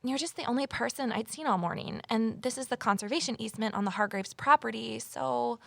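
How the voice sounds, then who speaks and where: An actress playing an eager, easily flustered rookie conservation officer and amateur sleuth in an audio drama, earnest and inquisitive. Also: female voice, fairly high pitch, fairly quick, gravelly, no echo. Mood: sheepish, apologetic. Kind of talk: naturalistic audio drama acting with first-person narration.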